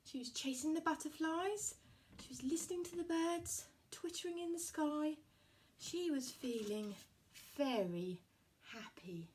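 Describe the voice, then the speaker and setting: A woman's voice: sits high, darkish tone, distant mic, a little hiss or hum. A woman telling a story to young children as a puppeteer.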